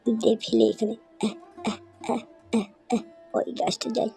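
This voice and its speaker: A woman's voice: fairly high pitch, completely flat delivery, slow pace, vocal fry, warm tone, soft background music, full character voice. A woman voicing a sly, greedy male thief in an amateur cartoon, muttering furtively and repeating himself. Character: sly, greedy male thief, hen-pecking-free husband.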